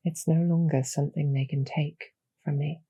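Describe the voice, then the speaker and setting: A woman voicing a calm, knowing succubus demoness in an intimate audio roleplay, speaking in a measured, softly persuasive way with a low, breathy, gravelly voice. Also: no echo, clean studio sound. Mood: reflective, composed. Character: calm, knowing demoness succubus archer, former hero, loyal to her queen.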